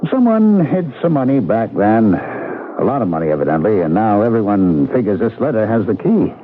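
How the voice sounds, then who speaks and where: A man's voice: on the low side, very animated, brisk. A man acting an earnest, exasperated small-town mail carrier in a vintage radio mystery. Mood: uneasy, resigned. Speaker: radio drama actor. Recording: tinny, noisy old radio broadcast.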